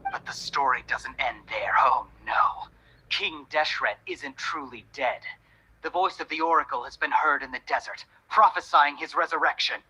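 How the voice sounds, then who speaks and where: An actor playing a shady, zealous, menacing desert mercenary in a video game, speaking in a theatrical, sneering way. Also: male voice, fairly high pitch, moderate pace, bright, forceful tone, breathy edge, exaggerated voice, low-quality recording. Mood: fervent, ominous.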